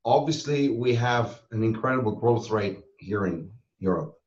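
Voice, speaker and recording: Male interviewee, medium pitch, conversational delivery, slightly muffled sound. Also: animated, moderate pace. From Switzerland. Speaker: interview guest, hypnosis trainer and school owner. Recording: roomy echo, slightly dull sound.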